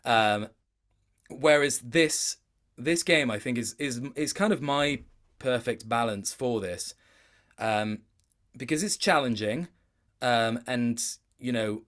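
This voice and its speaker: A man in relaxed conversation as an interview guest. He feels his way through his thoughts with hesitations, in a mid-pitched voice, and plays himself down a little. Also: slow pace. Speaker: interview guest, video game voice actor. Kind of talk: casual chat.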